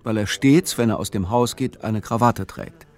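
A man narrating a literary audio drama in measured, clipped, matter-of-fact phrasing. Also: on the low side, a little mellow. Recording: music behind the voice. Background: music.

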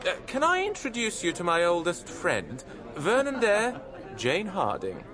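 Radio drama: effusive young theatre man, animated, slightly high voice. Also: measured pace, crowd noise, noisy background, heavily acted. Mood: effusive, proud. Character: genial, theatrical young impresario recalling his friends. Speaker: narrator and character. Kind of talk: radio drama narration and dialogue.

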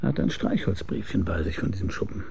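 Gravelly, mellow private detective. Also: male voice, deep voice, very animated, quick pace, exaggerated voice. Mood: wry, easygoing. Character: wry hard-boiled male private detective. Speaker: lead actor and narrator. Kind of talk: radio crime drama, first-person narration.

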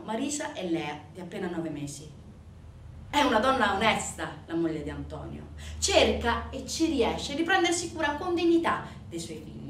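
A woman performing a dramatic scripted theatre narration, telling the story emphatically with deliberate pauses and repetitions. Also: fairly high pitch. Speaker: stage actress performing a theatre monologue. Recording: echoey room from a distance, faint noise.

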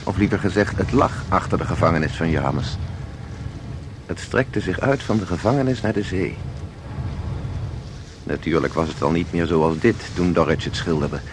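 An actor in a Dutch audio drama playing a composed, knowing guide who explains things in a measured, formal way. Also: male voice, low voice, lively intonation, noisy background. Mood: absorbed, explaining. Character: composed, knowing male guide through eerie painted worlds.

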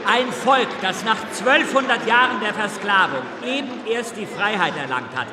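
Fervent orator, strained-high pitch, declamatory, far from mic, echoey, radio drama. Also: male voice, lively intonation, normal speed. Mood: fiery. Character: fervent revolutionary orator rallying a political club. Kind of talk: radio drama acting, public speech. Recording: echoey hall with crowd, distant.

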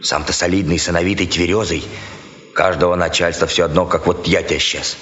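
An actor playing a garrulous peasant telling gossip over drinks in sly, folksy speech, in a radio dramatization. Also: male voice, low voice, steady tone, fairly bright tone. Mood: admiring, unhurried. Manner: folksy, sly, drawn-out telling with repeated words. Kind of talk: dramatized literary storytelling in rustic folk speech. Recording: dull, echoey hall-like reverb.

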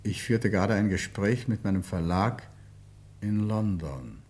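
A man acting an earnest Englishman caught in a troubled love affair in a German radio play, speaking in a measured, deliberate way. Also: fairly low pitch, expressive, a little mellow, breathy edge. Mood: grave, reflective.

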